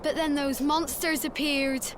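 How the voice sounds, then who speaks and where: A woman's voice: high-pitched, fairly even intonation, unhurried, background noise. A woman voicing a small, timid village child in a video game cutscene, sheepish and stammering as they speak. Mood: sheepish, apologetic.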